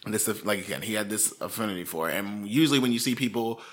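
A man's voice: on the low side, fast. A man co-hosting a casual true-crime podcast, talking in a chatty, rambling way with plenty of fillers. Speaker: podcast co-host.

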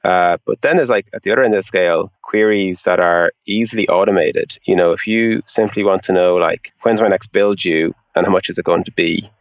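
A man's voice: low-pitched, brisk, few pauses, dry recording, lo-fi sound. A man being interviewed, explaining things in an informal, conversational way with a lot of filler phrases like "you know". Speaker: interview guest, tech product executive.

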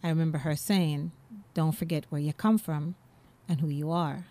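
Woman with a dark, low voice. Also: fairly even intonation, normal speed, no room sound. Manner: earnest, personal storytelling. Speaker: actress and playwright. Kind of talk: conversational interview answer.

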